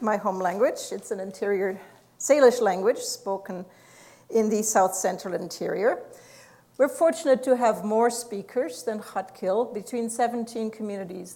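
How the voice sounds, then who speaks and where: A woman lecturing at a university with a gravelly edge to her voice. She talks in an explanatory way, filling pauses with "uh" and laughing softly now and then. Her pitch sits in an ordinary middle range. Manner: explanatory, with frequent fillers and soft laughs. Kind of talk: academic lecture.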